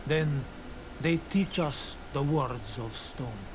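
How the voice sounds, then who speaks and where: An actor playing a wary, solemn village keeper of oaths in a fantasy game, speaking in a measured, guarded way. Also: male voice, mid-range voice, background noise, natural voice. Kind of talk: scripted game dialogue acting.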